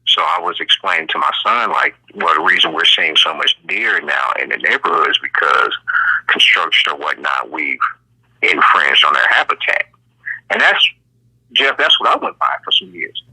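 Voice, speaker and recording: A man's voice: rumbling low, big pitch swings, moderate pace, sharp tone, husky. A middle-aged American man from Ohio telling his story casually as an interview guest, rambling and repeating phrases, over what sounds like a phone call. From Cincinnati, Ohio, United States. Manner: rambling, repeats phrases, often says "you know".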